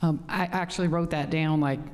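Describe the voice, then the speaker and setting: A city staff member answering questions at a public meeting in a measured way. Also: mid-range voice, fairly even intonation. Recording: reverberant hall.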